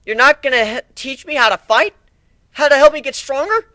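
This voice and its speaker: Naive young pegasus colt, played by a man, high and bright, pleading, bewildered, for a dramatic story reading. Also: expressive, a little fast, no room sound, heavily acted.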